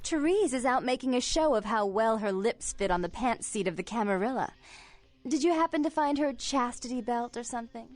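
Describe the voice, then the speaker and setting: Actress as young female game vampire, high bright voice, brazen, petulant, faint music behind. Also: animated, fairly quick, lightly acted. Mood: teasing, mocking.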